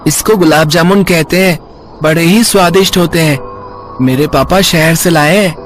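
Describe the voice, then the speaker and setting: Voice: medium pitch, moderate pace, faint music behind, close to an everyday voice. A performer voicing a childish village boy in a storybook tale, with simple childlike delivery. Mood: boastful.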